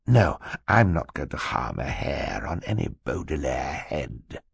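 Man putting on a slow, creaky, sneering villain. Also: very deep voice, big pitch swings, no room sound, voice pushed down, exaggerated voice. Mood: sneering threat. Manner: slow, sneaky, menacing. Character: sly, menacing villainous man, sneering and sinister.

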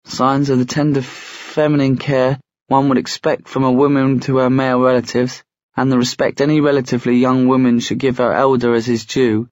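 A man voicing a young woman in a storybook who reads aloud in a formal, deliberate manner. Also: medium pitch, flat delivery, voice pushed up, close to an everyday voice. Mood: formal, measured. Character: young woman reading a pompous letter aloud. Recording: roomy echo, slightly dull sound.

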